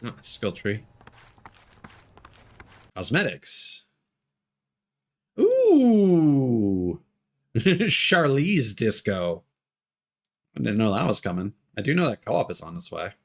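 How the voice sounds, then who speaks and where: A man's voice: medium pitch, huge pitch swings, takes it slowly, long pauses. A man streaming a video game with casual live commentary, musing aloud as he goes. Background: music behind the voice.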